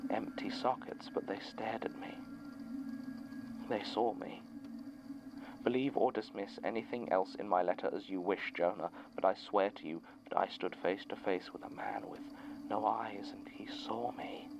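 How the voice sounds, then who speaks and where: A man playing a grave storyteller reading eerie testimony in an audio drama, measured, ominous and dramatic. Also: on the low side, music in the background. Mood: haunted, hushed. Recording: noisy, humming tape-style recording.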